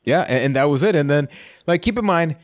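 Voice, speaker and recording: A man from Puerto Rico with a Puerto Rican accent, co-hosting a casual podcast chat and telling animated stories. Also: a little high, fairly quick, no room sound, studio quality. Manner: animated storyteller, laughs often. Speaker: podcast co-host.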